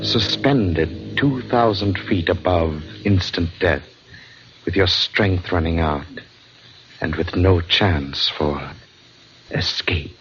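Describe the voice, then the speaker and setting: An actor voicing an ominous, grave narrator who draws listeners into peril in a 1940s radio thriller. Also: male voice, low-pitched. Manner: slow, grave, suspenseful. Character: ominous male voice luring listeners into mortal peril. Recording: dull vintage radio recording with music.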